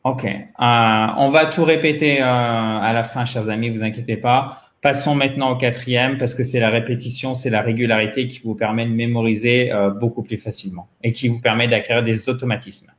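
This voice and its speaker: A man with a medium-pitched voice teaches pronunciation in an echoey room. He is a native French speaker and explains in a didactic way, turning directly to the learners as he goes. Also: steady tone, moderate pace, hardly any pauses. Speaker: English pronunciation teacher, host. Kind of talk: interactive language lesson, explanatory.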